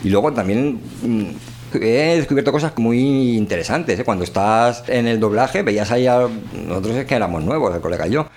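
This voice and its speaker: A man's voice: mid-range voice, animated, a little fast, a little mellow. A man with a Castilian Spanish accent hosting a radio show in an informal, colloquial, rambling way. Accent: Castilian Spanish, Spain. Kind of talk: informal, chatty monologue and discussion.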